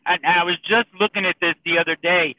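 A man's voice: high-pitched, fairly even intonation, fast talker. A man joining a church discussion, earnest and reflective. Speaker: group member. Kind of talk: casual discussion. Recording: tinny phone line.

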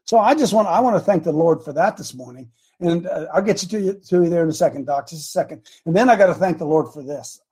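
An American man with a raspy voice hosting a religious talk show, chatting casually in a folksy, animated way and telling rambling personal stories. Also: fairly high pitch, fast talker. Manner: folksy, animated, repeats phrases for emphasis.

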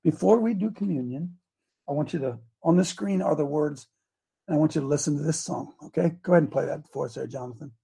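An older man hosting a morning talk show, with a gravelly, hoarse voice, folksy and emphatic, repeating his phrases as he preaches. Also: a little high, fast, warm tone, poor audio. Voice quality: gravelly, raspy voice. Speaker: host.

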